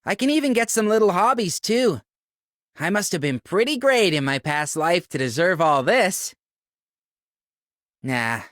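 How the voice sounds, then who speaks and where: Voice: mid-range voice, very animated, a little slow, pauses often, fairly bright tone, no room sound, clean studio sound. Laid-back, swingy-voiced young operator. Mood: offhand, wry. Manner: offhand, slangy. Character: laid-back, self-mocking young game operator, slangy and resigned. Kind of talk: English video game character voice lines.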